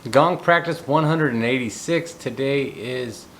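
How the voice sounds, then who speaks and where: A man in his thirties, from the United States, talking through his thoughts in a reflective, unhurried personal monologue. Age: 30s. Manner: thoughtful, unhurried, musing aloud. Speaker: gong practitioner sharing thoughts. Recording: steady low rumbling background noise. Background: rumbling noise.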